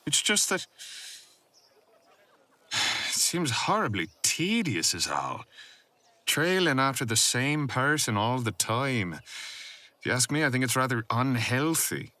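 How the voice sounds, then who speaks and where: A man's voice: medium pitch, sing-song, slow, pauses often, sharp tone, no room sound, exaggerated voice. A man playing a haughty, teasing, flirtatious cousin with an arch, insinuating drawl, in a fantasy audio roleplay. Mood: mocking.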